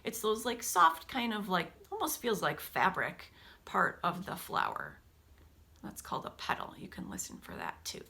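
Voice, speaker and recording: A woman teacher reading a picture book aloud to children, expressively, with casual, hushed explanatory asides. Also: fairly low pitch, normal speed, gravelly. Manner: animated storybook delivery, dropping to hushed asides.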